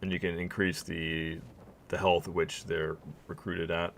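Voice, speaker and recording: A man's voice: low voice, level tone, unhurried, gravelly, a bit bright, a little hiss or hum. A man streaming a strategy game live, thinking aloud and reading game text to his viewers, his voice weak and worn out. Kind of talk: live gameplay commentary.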